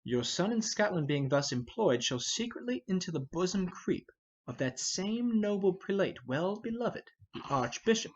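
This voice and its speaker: A man playing a measured, scheming older noble uncle in a formal, deliberate Shakespeare reading. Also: sits high, big pitch swings, highs cut off. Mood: measured, secretive. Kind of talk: dramatic reading of a Shakespeare play.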